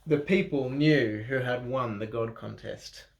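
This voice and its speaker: A man reading a children's Bible story aloud in an animated way, with dramatic pauses, expressive emphasis and playful asides. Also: medium pitch, gravelly. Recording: roomy, echoing room sound.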